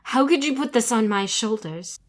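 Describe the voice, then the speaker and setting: A woman's voice: a little high, expressive, moderate pace, natural voice. Woman acting a frustrated young society daughter. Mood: hurt, indignant. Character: frustrated, defiant young upper-class daughter. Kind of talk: fan audio drama acting.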